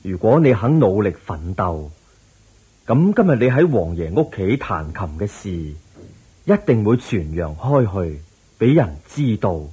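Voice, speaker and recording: Slow, solemn, husky Buddha. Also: male voice, lowish voice, sing-song, dark tone, highs cut off, exaggerated voice. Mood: encouraging. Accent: Hong Kong Cantonese. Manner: solemn, deliberate, drawn-out phrasing. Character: serene, wise Buddha patiently teaching his disciples. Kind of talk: Cantonese radio drama, preaching.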